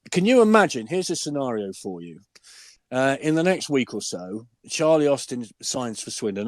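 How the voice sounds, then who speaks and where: Older hoarse man, enthusiastic football chat. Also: mid-range voice, a little mellow, no room sound. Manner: chatty, enthusiastic, rhetorical questions. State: hoarse, with a cold-deepened voice. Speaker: guest pundit and broadcaster. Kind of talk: casual podcast chat.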